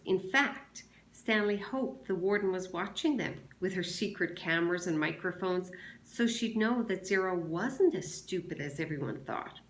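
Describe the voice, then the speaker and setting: A woman teacher reading a children's novel aloud to a class in a measured, deliberate way. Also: mid-range voice. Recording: roomy, echoing sound.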